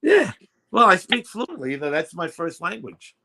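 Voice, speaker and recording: A man's voice: high voice, big pitch swings, normal speed, vocal fry, sharp tone. A man in his sixties with a New York accent, hosting a radio chat show in a rambling, chatty way. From Brooklyn, New York. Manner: rambling, chatty, many fillers. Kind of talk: casual chat.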